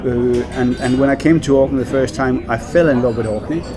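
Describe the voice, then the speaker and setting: A man's voice: medium pitch, a little fast, music in the background. A Danish man working as a whisky brand ambassador, speaking English in a casual interview, with many fillers and repeated words. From Denmark.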